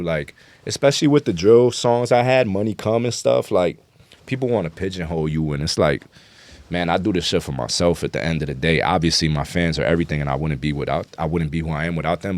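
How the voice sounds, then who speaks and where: Very deep-voiced New man. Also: fast talker, a little mellow, no room sound. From New York City, United States. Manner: slangy, profane, self-assured. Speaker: guest rapper. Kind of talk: casual interview chat.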